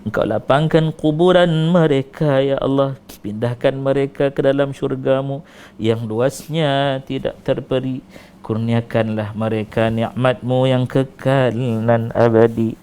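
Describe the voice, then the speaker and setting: A man's voice: mid-range voice, steady tone, a little slow, a little mellow, clear, resonant tone. A man with a Malaysian Malay accent leading an emotional supplication prayer, pleading in a rising and falling recitation with repeated invocations.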